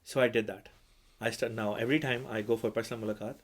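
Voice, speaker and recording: A man whose first language is Urdu hosts the conversation, his voice sitting fairly low. He speaks in a measured, reverent way and weaves in religious phrases. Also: fairly even intonation. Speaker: podcast host and imam. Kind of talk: conversational interview.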